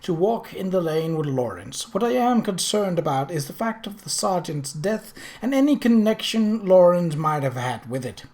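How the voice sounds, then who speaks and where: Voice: mid-range voice, big pitch swings, moderate pace, hardly any pauses, a little mellow, pitched above the performer's own voice. Narrator doing a composed lady of the house, firm and inquisitive, storybook mystery. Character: firm, probing young gentlewoman questioning her maid.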